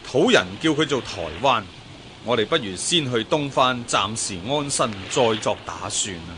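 A man's voice: medium pitch, expressive, normal speed, noisy background, lightly acted. A man acting an earnest fugitive sea merchant in a radio drama, with a Hong Kong Cantonese accent. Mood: weary, earnest.

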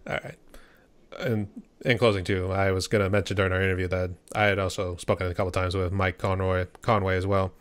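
Deep-voiced quick-talking podcast host. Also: male voice, dry recording. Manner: brief agreeing replies, relaxed. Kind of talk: casual interview chat. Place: home room. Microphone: headset on a video call.

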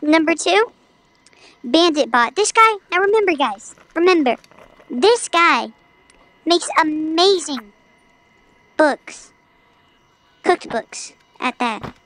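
Girl streaming a game, really high voice, chatty and kinda mock dramatic. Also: animated, takes it slowly, long pauses, a bit bright, no echo. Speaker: gaming streamer. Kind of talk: casual livestream gameplay commentary.

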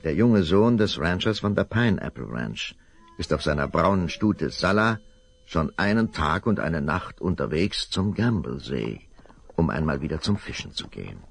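Gravelly deep older male narrator. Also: sing-song. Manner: measured storyteller. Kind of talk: scripted narration.

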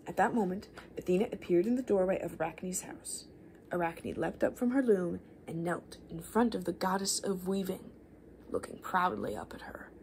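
A young woman speaks softly with a lot of creak, often sinking into a whisper. She reads and chats in a loose, informal ASMR manner. There is a noticeable hiss in the room. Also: medium pitch, low-quality recording. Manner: rambling, informal, gently chaotic asides. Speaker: ASMR creator reading children's books aloud. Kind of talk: soft-spoken ASMR reading and casual chat. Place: small living room, indoors.

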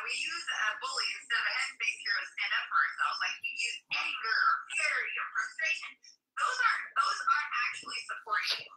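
A woman's voice: high-pitched, pushed and bright. A woman guest talking animatedly over a video call, often acting out her own inner voice. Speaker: author and guest. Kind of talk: casual interview chat. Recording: distant echoey video call audio.

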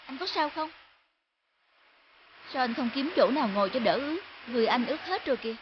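A woman playing a kind, sensitive young woman in an animated drama, speaking earnestly and with emotion. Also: high voice, fairly quick, muffled recording, noisy background. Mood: concerned, gentle. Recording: music behind.

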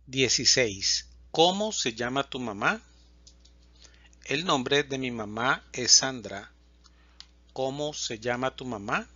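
A man teaching a Spanish lesson, reading example questions and answers slowly and distinctly. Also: mid-range voice, lively intonation, sharp tone, no echo, highs cut off. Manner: reads questions and answers slowly and distinctly, pausing between lines. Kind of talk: slow, clear language lesson reading example dialogues.

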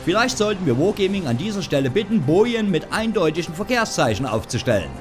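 A man's voice: high-pitched, sing-song, fast. A man hosting a gaming news show, reading a scripted voice-over with wry joking asides. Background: background music.

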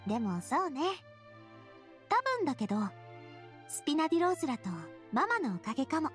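High-voiced, spirited young lady. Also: a little slow, long pauses. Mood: cheerful. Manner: casual, feminine, expressive storytelling. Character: spirited, kindhearted young lady, breezy and earnest. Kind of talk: Japanese anime game voice acting. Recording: music bed behind the voice.

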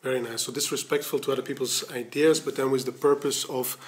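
Male host, 40s, mid-pitched, casual and encouraging; desk mic, small indoor room. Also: steady tone, fast talker. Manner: short encouraging replies. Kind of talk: casual interview talk. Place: small office studio with bookshelves, indoors. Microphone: desk studio mic.

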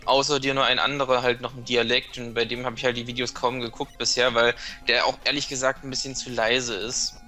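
A man with a bright, forceful voice joins a let's play as a guest, explaining game tricks at length. He often stops and restarts his sentences as he thinks, speaking in a plain conversational way. Faint game music runs underneath. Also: mid-range voice, level tone, brisk, few pauses. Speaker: guest co-commentator. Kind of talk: casual gaming chat.

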